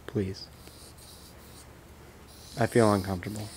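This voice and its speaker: A man playing a gloomy, timid demon-king rock star in a comedy sketch, talking quietly and often dropping to a mumble or near whisper. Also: on the low side, level tone, slow pace, slight background noise. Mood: uneasy.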